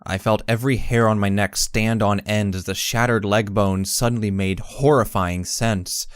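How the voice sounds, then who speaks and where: Audiobook-style narrator, male actor: timid young pony, earnest, slightly low, dry close recording. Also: steady tone, moderate pace, smooth, dry recording, close to an everyday voice. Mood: uneasy. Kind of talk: first-person story narration.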